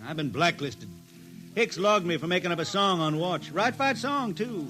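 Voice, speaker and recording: A folksy, hard-drinking singer of the spaceways with a drawl. His voice sits fairly high and he wisecracks his way through every line. It sounds like a vintage radio drama, with music behind. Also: animated, moderate pace, pushed and bright. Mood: needling. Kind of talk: old-time radio acting.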